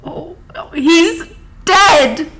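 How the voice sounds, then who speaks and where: A woman playing a stern, dramatic older woman in a theatrical drama, imperious, emphatic and deliberate. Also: very high-pitched, a bit bright, exaggerated voice. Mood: shocked. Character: stern, bossy, dramatic grandmother running an inn. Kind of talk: theatrical stage acting.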